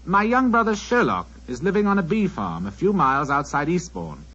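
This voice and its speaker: An actor playing a lazy, pompous, heavyset English spymaster in an old radio drama, languid and haughtily superior. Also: male voice, high voice, sing-song, fairly quick, sharp tone, full character voice. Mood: smug. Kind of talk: 1940s radio acting. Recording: dull, hissy 1940s radio broadcast.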